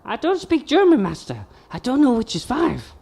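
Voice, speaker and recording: A woman's voice: on the high side, wildly swooping pitch, moderate pace, vocal fry, warm tone, breathy edge, exaggerated voice. A woman playing a meek, literal-minded villain's sidekick in a comic radio play. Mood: meek, puzzled. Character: eager, dim, obedient villain's sidekick.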